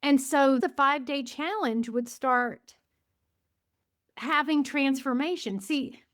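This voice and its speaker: A woman in her fifties, a guest on a podcast, telling stories with animated shifts in pace and frequent self-quotes. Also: high voice, no echo, studio quality. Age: 50s. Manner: enthusiastic storyteller, quotes herself often, speeds up and slows down. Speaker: guest, gratitude coach and author. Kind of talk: conversational podcast interview.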